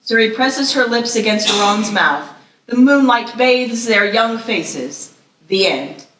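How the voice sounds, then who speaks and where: A woman's voice: a little high, animated, slow pace, fairly bright tone. A woman reading scripted stage directions aloud at a live screenplay table read. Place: live festival stage reading in echoey room. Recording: echoey room, slightly distant mic.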